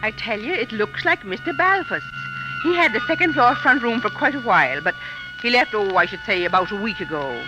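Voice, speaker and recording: A woman's voice: fairly high pitch, animated, a little fast, lo-fi sound, close to an everyday voice. An actress playing a folksy, trusting landlady who talks protectively in an old radio drama. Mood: chatty, earnest. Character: chatty, trusting landlady with a rented front room. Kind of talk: vintage radio drama acting. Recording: old radio recording with music behind.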